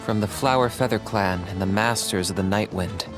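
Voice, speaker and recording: An actor voicing a grave, enigmatic veteran hero in a fantasy game, solemn and deliberate. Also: male voice, fairly low pitch, over music, close to an everyday voice. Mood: solemn, reflective.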